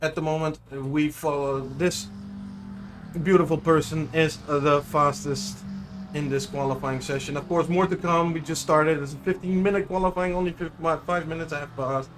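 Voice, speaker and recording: A Dutch-accented man whose voice sits a touch high for a man, commentating a sim race from a home streaming desk. He talks in a loose, rambling way, restarting phrases and fumbling names as he goes. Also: fairly even intonation, normal speed, faint music behind, rough recording. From Netherlands. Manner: chatty, self-correcting, unsure of name pronunciations. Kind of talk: live sim racing commentary.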